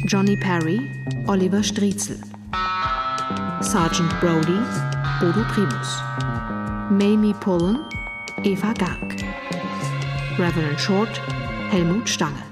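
A woman announcer reading the credits of a radio drama in a measured, formal way. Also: on the low side. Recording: loud music behind. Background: loud guitar music.

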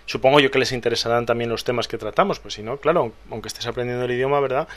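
Man, podcast co-host, relaxed chatty delivery, lots of fillers and restarts, mid pitch. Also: rapid-fire. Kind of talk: casual chat, reading listener mail.

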